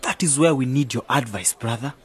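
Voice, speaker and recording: A man's voice: mid-range voice, brisk, husky, faint music behind. A man playing an earnest young member of a youth project in an educational radio drama. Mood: friendly.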